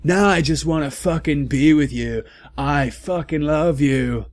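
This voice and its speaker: A man's voice: a little high, measured pace, natural voice. A man voicing an overwrought, awkward, lovesick gothic teenage boy in a comedic fan fiction reading. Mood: anguished adoration.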